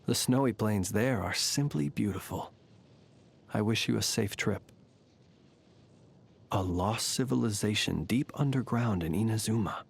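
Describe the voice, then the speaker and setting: A man voicing a courteous, enigmatic, centuries-old lamp-bearing guardian of the night in a video game, speaking formally and eloquently in measured, wry phrases. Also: fairly low pitch, creaky, breathy edge, exaggerated voice. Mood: composed, gracious. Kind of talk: video game character voice lines. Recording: background music under the voice. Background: music.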